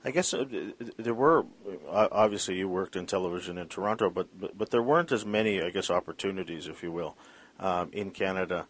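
Deep-voiced halting male host. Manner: halting, many fillers and restarts. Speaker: podcast host. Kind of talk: interview introduction and questions. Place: Vancouver.

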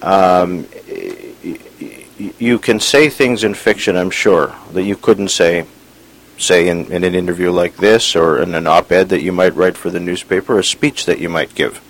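A man's voice: sits low, measured pace, poor audio. A man interviewing an author, asking questions with frequent stammers and repeated words.

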